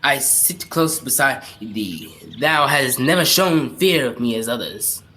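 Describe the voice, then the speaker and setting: A woman's voice: a bass voice, lively intonation, a little slow, a bit bright, heavily acted. A woman acting a bitter, cursed undead sea captain with archaic, menacing speech in a radio drama. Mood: ominous, wary.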